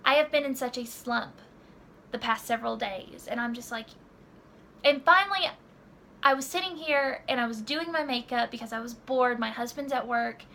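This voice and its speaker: A woman's voice: a little high, normal speed, sharp tone. Young woman, rambling vlog chat, lots of fillers, camera mic, faint hiss. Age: 20s. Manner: rambling, many fillers and restarts. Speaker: vlogger. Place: home room.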